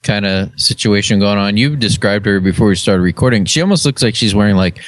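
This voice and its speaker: A man co-hosting a podcast, bantering casually in a teasing, excitable way. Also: fairly low pitch, a little fast, no echo, rough recording. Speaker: podcast co-host.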